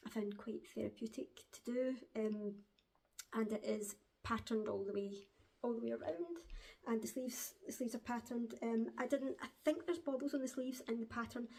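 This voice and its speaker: A Glaswegian woman hosting a crafting podcast from home, chatting casually to camera, hesitant with frequent ums and quick laughs. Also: a little high, mellow. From Glasgow, Scotland. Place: at home, seated with a dog on her lap.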